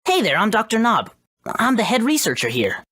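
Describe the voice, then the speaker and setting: A woman's voice: medium pitch, wildly swooping pitch, a little fast, fairly bright tone, breathy edge, dry recording, full character voice. A woman voicing a brainy, self-assured boy who heads a research lab in a video game, matter-of-fact and bossy. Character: confident brainy boy genius, head researcher.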